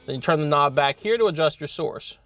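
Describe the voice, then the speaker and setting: A man's voice: fairly high pitch, expressive, a little fast, a bit bright, faint music behind. A man hosting an instructional car installation demonstration, explaining step by step in plain-spoken language. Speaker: host of car installation how-to videos.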